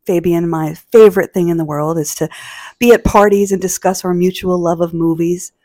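A woman's voice: lowish voice, fairly even intonation, moderate pace, dark tone. A woman co-hosting a podcast, chatting in a bubbly, giggly way. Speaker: podcast co-host. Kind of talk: casual chat.

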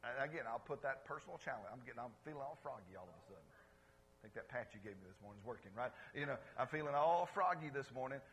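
A pastor preaching to his congregation in a lively, conversational way, calling out for responses and swinging between loud exclamations and quiet asides. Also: medium pitch, fast, slight background noise, poor audio. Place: church service before a congregation.

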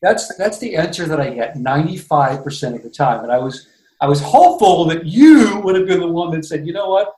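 A man's voice: fairly high pitch, animated, brisk, dark tone, roomy sound, rough recording. A man hosting a casual talk show, easygoing and upbeat as he chats with his guest. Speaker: host.

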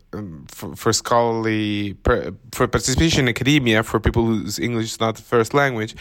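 A man whose first language is Spanish, speaking as a podcast panelist and thinking aloud with many pauses and fillers. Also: medium pitch, fairly bright tone. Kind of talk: conversational discussion.

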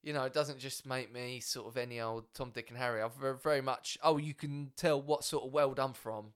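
A man's voice: medium pitch, steady tone, brisk, clean tone. A man with an Essex accent, a stand-up comedian chatting as a podcast guest, rambling with lots of filler words and casual swearing. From Essex, England.

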